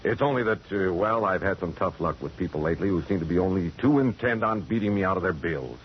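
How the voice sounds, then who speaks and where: A businesslike ranch owner in an old radio drama. His voice is low and a little brusque, caught on a dull broadcast with faint noise. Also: animated, fast. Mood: apologetic, businesslike. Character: brusque, apologetic male dude ranch owner. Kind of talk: 1940s radio acting.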